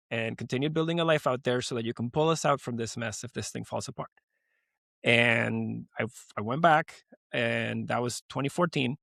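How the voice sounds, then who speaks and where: Guest, 40s man from Venezuela, animated and emphatic, dry mic. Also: medium pitch, gravelly, no echo. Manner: animated, emphatic, many restarts. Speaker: guest, lending company founder. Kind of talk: podcast interview.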